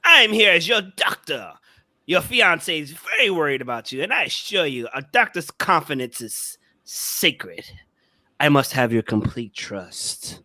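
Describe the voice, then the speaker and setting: A man's voice: fairly high pitch, sing-song, a little slow, sharp tone, no room sound, full character voice. A man playing an earnest, proper Victorian doctor in an amateur radio drama, speaking formally.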